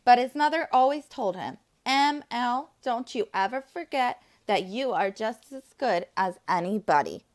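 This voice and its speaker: A woman with a fairly high, very animated voice reads a picture book to preschool children. She speaks as an enthusiastic teacher, breaking off from the text to talk directly to her young friends. Her intonation swings widely throughout. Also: a little slow, few pauses. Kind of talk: children's read-aloud with asides to the listeners.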